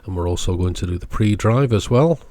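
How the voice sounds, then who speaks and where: Deep-voiced man explaining a repair. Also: lively intonation, fairly quick, dark tone. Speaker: presenter of an electronics repair channel. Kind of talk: hands-on repair demonstration commentary.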